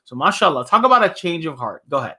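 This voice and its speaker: Man with very lively, swooping story-time delivery. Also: a little high, fast, slightly rough. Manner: casual, explains the story in simple words. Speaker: imam hosting a children's story time. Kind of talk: animated read-aloud with casual recaps.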